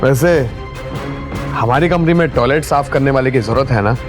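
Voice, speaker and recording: Actor as a smooth, scheming rich businessman over music. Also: medium pitch, normal speed, natural voice. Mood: scornful. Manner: outwardly polite, slips English phrases into Hindi. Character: smooth, scheming rich company owner with bad intentions. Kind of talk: Hindi audio drama acting. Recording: music behind the voice.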